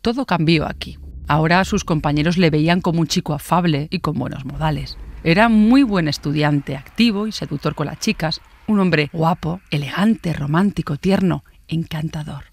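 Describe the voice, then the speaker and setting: A woman's voice: sits low, normal speed, a little mellow. A woman delivering scripted true-crime narration as a podcast narrator.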